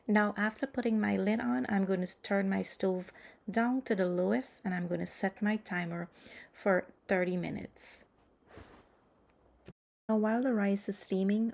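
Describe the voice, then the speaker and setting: A woman's voice: lowish voice, vocal fry, darkish tone. A woman hosting a cooking video, explaining each step in an orderly, instructional voice-over. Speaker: cooking channel host.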